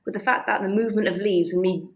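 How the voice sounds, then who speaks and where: A woman answering as an interview guest, thinking aloud in a halting, reflective, conversational way. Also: mid-range voice, steady tone.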